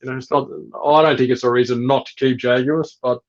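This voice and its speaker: A man chatting casually and explaining things as a guest breeder, in a relaxed way with frequent fillers. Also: medium pitch, a little fast. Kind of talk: casual chat. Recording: dull lo-fi sound.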